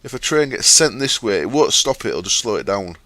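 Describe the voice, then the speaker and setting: A man hosting a gaming channel, explaining his setup step by step in a chatty way with fillers, his throat sore and croaky. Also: fairly low pitch, big pitch swings, a little fast, bright, forceful tone, no room sound. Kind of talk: casual game commentary.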